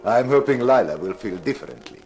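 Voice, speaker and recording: Haughty, creaky-voiced connoisseur played by a man. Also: mid-range voice, animated, a little fast, darkish tone, faint music behind, lightly acted. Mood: smug. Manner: pompous, scornful. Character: haughty, acquisitive male connoisseur, disdainful of his rivals. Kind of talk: 1950s radio mystery acting.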